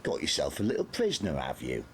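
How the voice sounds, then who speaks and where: A man's voice: a bass voice, huge pitch swings, quick pace, slight background noise, exaggerated voice. A man voicing a sly, bullying sergeant who threatens in a mock-friendly way in an audiobook. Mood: smug. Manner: smooth, menacing, mock-friendly. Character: menacing, grinning male sergeant, a sly bully. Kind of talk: audiobook character voice.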